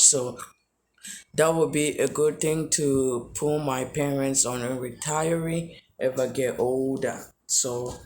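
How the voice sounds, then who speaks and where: Young guy from tanzania chatting to viewers, slow and halting, faint noise in the back. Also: medium pitch. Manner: rambling, halting. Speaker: vlogger. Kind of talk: casual chat to viewers.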